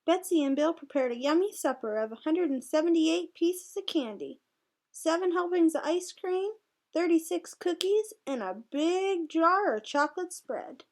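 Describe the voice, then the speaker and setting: A woman tutor reading a storybook aloud to young children in an expressive way. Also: extremely high pitch, moderate pace. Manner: expressive, voices the characters' dialogue.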